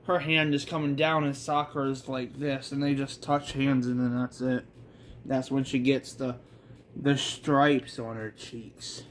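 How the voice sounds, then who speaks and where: A man's voice: mid-range voice, flat delivery, a little slow, slight background noise. A man reading a story aloud in an amateur way, with rambling, informal asides and some swearing. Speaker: reader of a self-made manga story. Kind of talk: amateur story read-along with casual asides.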